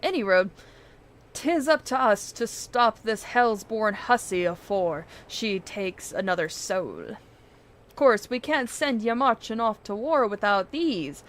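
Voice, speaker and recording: A woman's voice: mid-range voice, expressive, slow. A woman voicing a superstitious old sailor man in dramatic, piratical dialect, reading out game dialogue. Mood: grim, wry.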